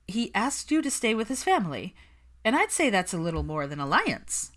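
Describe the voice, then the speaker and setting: A woman's voice: medium pitch, big pitch swings, moderate pace, fairly bright tone, pitched above the performer's own voice. A woman voicing a brash, teasing young witch who pries at her friend in a smug, dramatic way, in a story reading. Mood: teasing, probing.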